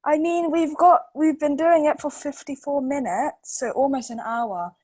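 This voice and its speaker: Woman, very high pitch, slow pace, casual reading tutor for kids, storybook read-aloud style. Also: darkish tone. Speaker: adult reading tutor helping a child.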